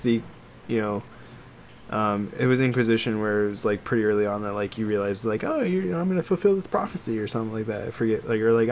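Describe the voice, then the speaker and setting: Rambling man chatting over background noise. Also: mid-range voice. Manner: rambling and hedging, peppered with "like". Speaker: podcast co-host. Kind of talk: casual chat about games and films.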